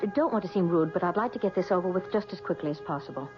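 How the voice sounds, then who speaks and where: A woman's voice: lowish voice, expressive, rapid-fire, over music, natural voice. An actress playing an earnest, emotional young wife and new mother in an old radio drama. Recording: dull old radio broadcast recording.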